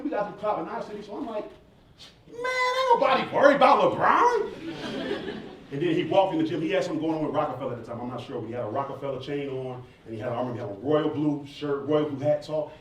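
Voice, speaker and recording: A man's voice: a little high, fast talker. A man answers audience questions as a performer, telling stories in a casual, joking, informal way. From southern United States. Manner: joking, informal, animated storyteller. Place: echoey indoor event space with audience. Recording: echoey room, distant mic, background noise.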